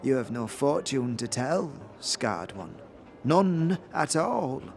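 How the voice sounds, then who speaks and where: A woman playing a hunched old fortune-teller, grave and cryptic, with archaic, halting, portentous phrasing in an audio drama. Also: a bass voice, very animated, darkish tone, a little hiss or hum, heavily acted. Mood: grave, mysterious. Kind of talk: audio drama character acting.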